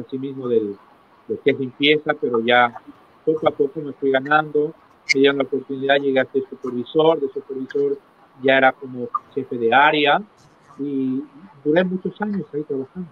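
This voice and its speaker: A middle-aged man recounting his life as an interview guest, in a halting manner, repeating phrases for emphasis. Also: medium pitch, steady tone. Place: small indoor room, video call. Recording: tinny phone-like call with clear noise.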